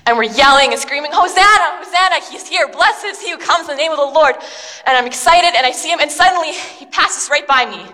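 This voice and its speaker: A woman performing a dramatic monologue as an excitable, self-doubting young city dweller, telling the story breathlessly with slangy fillers. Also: high voice, quick pace, few pauses. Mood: excited. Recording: long reverb of a large hall.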